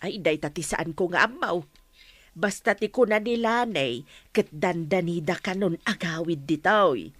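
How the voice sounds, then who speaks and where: A woman's voice: on the low side, lively intonation, unhurried, dry recording. Blunt, chiding mother, close and dry. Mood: chiding, curious. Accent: Ilocano. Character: blunt, gossipy mother of a grown son. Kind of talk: radio drama acting.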